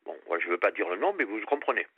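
An older man from France, calling in to a radio show, talking earnestly in a halting way and often repeating his words. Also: on the low side, animated, breathy edge, no room sound. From France, Périgord, grew up on Réunion. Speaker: caller. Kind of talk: phone-in radio call. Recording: muffled phone line.

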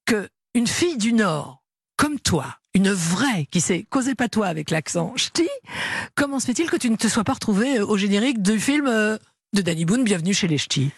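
A woman hosting a radio interview, playful and quick to laugh with her guest. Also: medium pitch, animated, husky, no room sound, studio quality. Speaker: radio host.

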